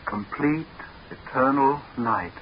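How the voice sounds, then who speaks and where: A man's voice: medium pitch, slow pace, close to an everyday voice. Respectful young doctor played by a man, muffled radio. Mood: measured, grave. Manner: respectful, earnest, explanatory. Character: small, lean, deferential young doctor, seasoned deep-sea diver. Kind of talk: 1940s radio drama acting. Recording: dull, hissy 1940s radio recording.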